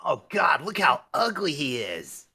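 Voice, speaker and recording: Really deep voiced woman reading lines at a casual table read, lively n bright. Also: measured pace, breathy edge. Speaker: podcast co-host reading character lines.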